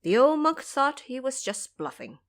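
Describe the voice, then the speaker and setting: A woman's voice: sits high, big pitch swings, normal speed. A woman reading scripted narration for an audio drama as its storyteller.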